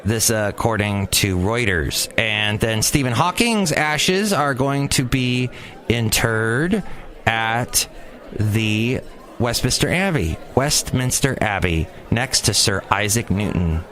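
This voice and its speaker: A man's voice: on the low side, animated, slow pace, a bit bright. A man hosting his own podcast outdoors near a cafe, giving rambling, opinionated news commentary and stumbling over words. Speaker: podcast host. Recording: outdoor street noise. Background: traffic and city ambience.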